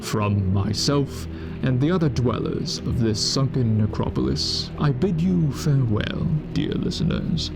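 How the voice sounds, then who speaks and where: An actor playing the sinister host of a radio show broadcast from a sunken necropolis, speaking slowly and formally to his listeners. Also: mid-range voice, expressive, creaky. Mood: suave. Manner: slow, suave, menacing, formal address. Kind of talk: radio drama narration. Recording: spooky music bed.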